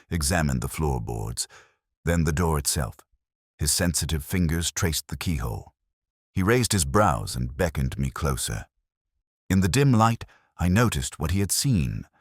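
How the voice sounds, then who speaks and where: A man's voice: extremely low pitch, husky, dry recording, studio quality. A man reading scripted first-person detective fiction as an audiobook narrator.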